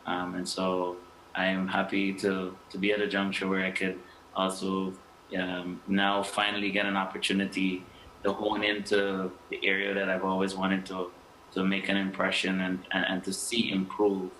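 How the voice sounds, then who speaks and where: A man's voice: deep voice, completely flat delivery, moderate pace, fairly bright tone. A man from Guyana speaking as an interview guest, hesitating with many fillers and restarts. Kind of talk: interview answers. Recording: echoey room with faint noise.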